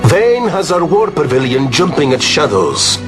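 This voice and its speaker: A man's voice: a little high, sing-song, over music. An actor playing a gallant foreign nobleman who speaks in grand, flowery phrases, over an orchestral score. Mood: courtly. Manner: slow and deliberate. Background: orchestral game score.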